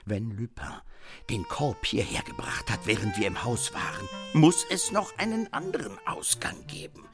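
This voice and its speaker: An actor playing a naive, anxious and eager detective's sidekick in a radio crime comedy, earnest and theatrical. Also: male voice, fairly low pitch, very animated, normal speed, over music. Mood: flustered, protesting.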